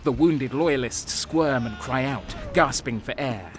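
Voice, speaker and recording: A man's voice: medium pitch, a little slow, over music, lightly acted. An actor playing a grim, sadistic traitor guardsman who is addicted to slaughter, narrating an audio drama with menacing intensity. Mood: cruel relish.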